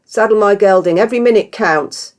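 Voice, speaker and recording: A woman's voice: mid-range voice, a little fast, a little mellow, pitched above the performer's own voice, lightly acted. A woman voicing a domineering, bad-tempered bearded man in a story, blustering, demanding and curt. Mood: roaring, outraged.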